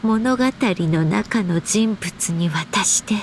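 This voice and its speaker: A woman playing a gentle, wistful storybook author in a game, speaking in a measured, reflective way with breathy pauses. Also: mid-range voice, a little hiss or hum, natural voice. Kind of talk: Japanese game story voice acting.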